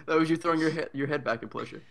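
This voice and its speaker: A man's voice: fairly high pitch, rapid-fire, creaky, crowd noise. A man co-hosting a podcast, chatting casually with crude, teasing interjections and bits of playful acting. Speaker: podcast co-host. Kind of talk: casual joking chat with brief acted lines.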